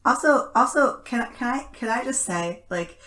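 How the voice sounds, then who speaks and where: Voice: mid-range voice, expressive, normal speed, pushed and bright. A woman co-hosting a podcast in casual analytical chat, emphatic and repeating words to stress a point. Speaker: podcast co-host.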